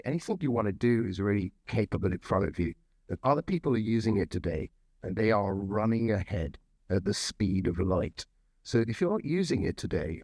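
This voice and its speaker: A man's voice: on the low side, darkish tone, dry recording. A man speaking as a panelist who urges the audience in a persuasive way. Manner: urging, persuasive.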